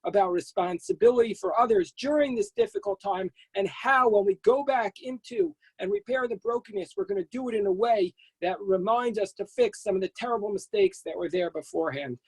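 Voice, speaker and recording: A man's voice: high-pitched, very animated, a little fast, gravelly, few pauses, breathy edge, dry recording, poor audio. A man, a rabbi, giving earnest, reflective closing remarks.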